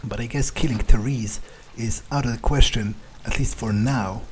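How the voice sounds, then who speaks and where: A man doing an in-character game playthrough, thinking aloud in a gruff, blunt, halting way with plenty of swearing. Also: mid-range voice, a little mellow, a little hiss or hum, rough recording. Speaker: gamer playing in character.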